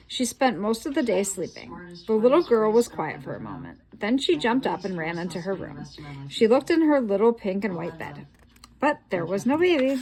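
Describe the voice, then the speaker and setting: A woman reading a children's picture book aloud in a slow, expressive storytelling manner. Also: mid-range voice, rough recording.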